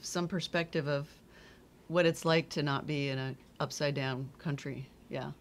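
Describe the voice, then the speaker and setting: A woman's voice: low-pitched, fairly even intonation, normal speed. A woman who grew up in Greece, co-hosting a talk in a studio and chatting in a friendly, affirming way. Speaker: co-host. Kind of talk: casual interview talk. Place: indoor studio with green screen. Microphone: desk microphone.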